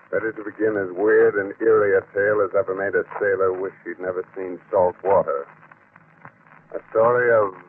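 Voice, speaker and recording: Eerie radio horror host, a low-pitched man, mock-cordial and ominous, thin vintage broadcast sound. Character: eerie genial horror host welcoming listeners. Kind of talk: 1940s radio horror narration. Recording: muffled, noisy 1940s radio recording.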